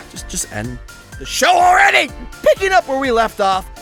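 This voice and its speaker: A woman host delivers scripted comic narration in a high, bright voice. She is theatrical and sarcastic, swinging hugely in pitch over faint music. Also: wildly swooping pitch, normal speed. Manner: theatrical, sarcastic, foul-mouthed.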